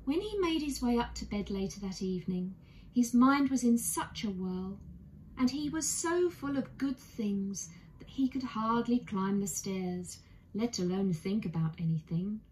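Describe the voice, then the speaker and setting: A woman's voice: mid-range voice, animated, measured pace, a little mellow, a little hiss or hum, low-quality recording. A woman narrating a children's bedtime story expressively, as a storyteller.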